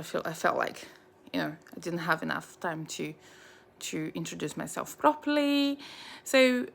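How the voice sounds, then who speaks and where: A woman's voice: mid-range voice, vocal fry. A Brazilian-born woman of nearly forty with a Brazilian Portuguese accent, telling her life story in a chatty personal vlog, full of fillers and restarts. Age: 30s. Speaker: vlogger.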